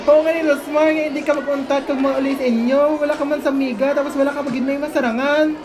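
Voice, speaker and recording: Mocking school bully's sidekick played by a woman, Filipino accent, high voice, clear crowd behind, radio drama. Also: steady tone, brisk, close to an everyday voice, low-quality recording. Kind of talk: student radio drama acting.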